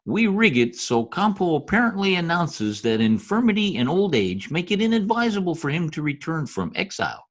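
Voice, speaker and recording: Radio drama schemer male actor: glib, cynical politico, rough husky voice, lively intonation. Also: a little high, normal speed, few pauses. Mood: scheming. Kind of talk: old-time radio drama acting. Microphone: video call.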